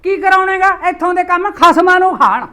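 A woman playing a sharp-tongued, sarcastic mother-in-law in a village family drama. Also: very high voice. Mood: scolding. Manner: scolding, sarcastic, rapid complaints.

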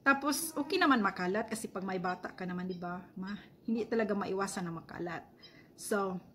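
A woman's voice: medium pitch, fairly bright tone, a little hiss or hum. A woman in her forties from Bohol in the Philippines, with a Visayan Filipino accent, chatting casually to the camera at home and laughing often. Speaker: vlogger. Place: home living room, indoors. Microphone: camera at arm's length, then on a stand.

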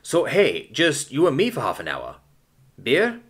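Male reader doing brash young giant, loud, crude, bright and lively. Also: medium pitch, measured pace, husky, pitched above the performer's own voice, full character voice. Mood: excited. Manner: loud, eager, crude joking. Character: huge, boisterous young man, the hero's son.

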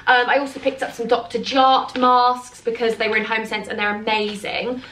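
A young British woman chatting casually and effusively to camera in a home vlog, with an affectionate, excitable manner. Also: on the high side, moderate pace, a little hiss or hum, rough recording. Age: young adult. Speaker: vlogger. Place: rooms inside a house. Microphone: camera mic, at arm's length or on a stand.

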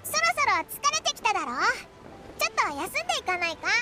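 A woman's voice: very high-pitched, big pitch swings, fast, loud music behind the voice. A woman voicing a tiny, talkative childlike fairy companion in a video game, with a brash, boyish, bossy way of talking. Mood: coaxing. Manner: boyish, brash, casual speech. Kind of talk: Japanese video game voice acting.

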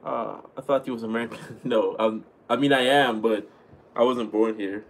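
A man's voice: fairly low pitch, moderate pace, creaky, slight background noise. A young man in his twenties from Jamaica with a Jamaican accent, chatting casually on a livestream and answering viewers from a small room at home. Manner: relaxed, chatty, thanks viewers often. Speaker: livestream host reading chat. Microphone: webcam at desk.

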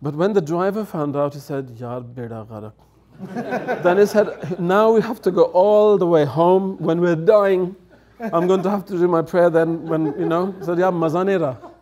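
A man's voice: sits high, moderate pace, a little mellow. Cheerful man telling anecdotes. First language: English. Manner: chatty, laughing storyteller acting out quoted voices. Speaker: guest elder. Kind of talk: storytelling anecdotes. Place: studio set with dark curtains.

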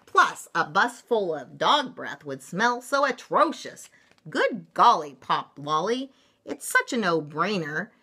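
A woman's voice: on the low side, big pitch swings, takes it slowly, bright, forceful tone, slightly rough, exaggerated voice. A woman voicing a bossy mother cat from a storybook, scolding in an emphatic, dramatic manner.

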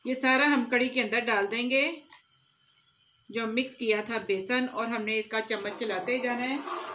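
A woman giving calm, step-by-step cooking instructions in a home kitchen, talking directly to her viewers while she cooks. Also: a little high, a little slow. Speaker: cooking channel host. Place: home kitchen, off camera over a pot.

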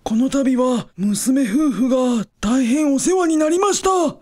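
A woman plays an older hotel cook in a radio drama. The voice is very high, and the speech is formal and deferential, that of a humble, grateful parent. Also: measured pace, a little mellow. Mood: grateful, relieved. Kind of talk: scripted radio drama acting.

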